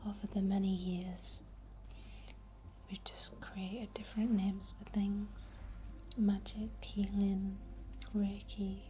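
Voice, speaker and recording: A woman softly whispering a guided ASMR healing ritual in a breathy, gentle and soothing manner, often repeating words. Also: mid-range voice, slight background noise.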